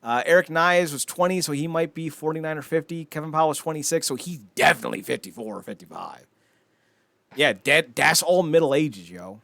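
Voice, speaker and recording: A man's voice: fairly high pitch, fairly quick, creaky, pushed and bright, no room sound. A man hosting a podcast with casual, comedic chat, riffing and swearing freely. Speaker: podcast host.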